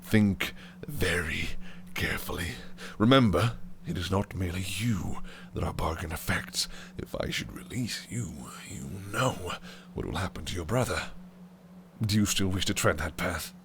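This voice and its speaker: A scheming fae prince in an audio roleplay drama, his voice sitting on the low side. He talks in a grand, archaic way, roaring one moment and dropping to a near-whisper the next. A soft hiss lingers under the recording. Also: expressive, unhurried, creaky, exaggerated voice. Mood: menacing. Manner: theatrical, archaic, swings from shouting to hushed whispers.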